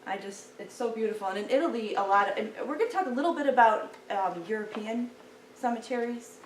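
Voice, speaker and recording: Soft-spoken woman lecturing in an echoey room. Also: on the high side, expressive, brisk, slight background noise. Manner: soft-spoken, friendly, chatty. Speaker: guest speaker, local historian. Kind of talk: public lecture. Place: meeting room. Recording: echoey room, mic from far off.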